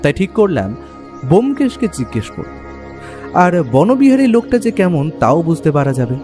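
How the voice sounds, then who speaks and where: A Bengali man narrates a detective audio story in a dramatic, scripted style. His voice sits fairly high and moves with lively intonation, with music clearly playing underneath. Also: moderate pace.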